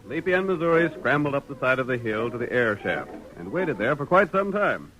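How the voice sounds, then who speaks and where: A man's voice: medium pitch, very animated, brisk, over music, lightly acted, rough recording. An American man narrating a 1930s radio drama in scripted storytelling style.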